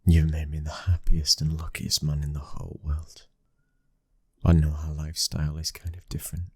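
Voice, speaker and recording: A man plays a brooding British mafia boss's son in an ASMR romance roleplay. His voice is extremely low and husky, kept close and intimate. He speaks as a protective, devoted husband, often dropping into a whisper. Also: completely flat delivery, moderate pace, no echo, exaggerated voice. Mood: stunned, joyful, then tender, devoted. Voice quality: very deep, breathy, husky. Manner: hushed intimate delivery slipping into whispers.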